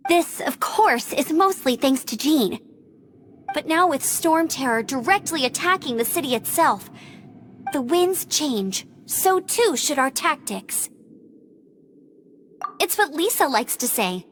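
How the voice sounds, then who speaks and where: High-voiced eager young scout girl. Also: animated, takes it slowly, a little hiss or hum. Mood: earnest. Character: eager, earnest, youthful female scout. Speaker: outrider scout. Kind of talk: fantasy video game voice acting.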